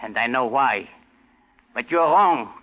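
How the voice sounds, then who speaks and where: An actor playing an impatient, desperate fugitive naval commander in a 1940s radio drama, tense, curt and insistent. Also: male voice, medium pitch, expressive, slow pace. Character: desperate, impatient male fugitive German naval commander under false name. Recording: dull 1940s radio recording.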